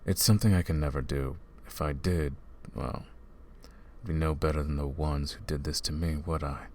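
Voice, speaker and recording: A man voicing a brooding male vampire who hunts vampires, soft-spoken and calm in an ASMR roleplay. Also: extremely low pitch, moderate pace. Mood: weary.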